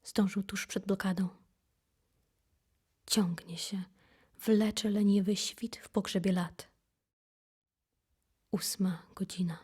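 A woman reciting poetry for an audio drama in an intense, solemn, deliberate manner. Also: mid-range voice, steady tone, a little mellow, slightly rough. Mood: hushed, anguished. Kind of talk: dramatic poetry recitation, from whisper to impassioned cries. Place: recording studio.

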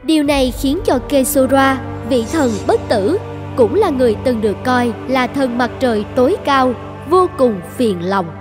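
A woman's voice: high voice, lively intonation, moderate pace, over music. A woman narrator telling an ancient myth in a scripted storytelling style. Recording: long reverb on voice.

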